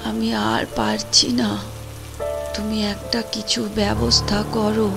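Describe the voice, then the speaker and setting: A woman's voice: mid-range voice, little pitch movement, takes it slowly, creaky, music in the background. An actress playing a caring middle-aged housewife in a cartoon moral story, earnest and persuasive in her talk. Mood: weak, suffering. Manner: earnest, coaxing, insistent.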